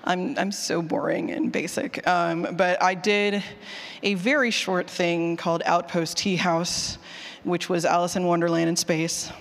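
A woman's voice: fairly low pitch, flat delivery, measured pace. A woman giving a casual, chatty workshop talk and taking questions, informal and self-deprecating, with a ready laugh. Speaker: conference speaker on audio drama writing. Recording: echoey hall with long reverb.

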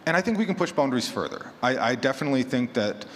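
A man's voice: mid-range voice, big pitch swings, racing, a bit bright. A man giving his opinions as a panelist at a live discussion, repeating phrases for emphasis. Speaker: panelist, horror fiction podcaster. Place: large conference room with audience. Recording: echoey hall with background noise.

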